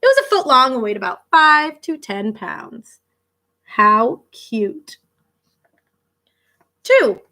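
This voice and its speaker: A woman with a high, bright voice reads a children's fact book aloud as a schoolteacher would. Her intonation swings widely, and she speaks directly to young listeners, stepping out of the text for short casual comments. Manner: expressive, child-directed, pausing to react and explain. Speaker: elementary school teacher reading a picture book.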